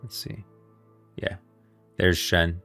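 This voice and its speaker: A man's voice: sits low, little pitch movement, slow, creaky, pushed and bright, dry recording, faint music behind. A man giving casual, opinionated live commentary on game lore as a streamer, often repeating and rephrasing his points.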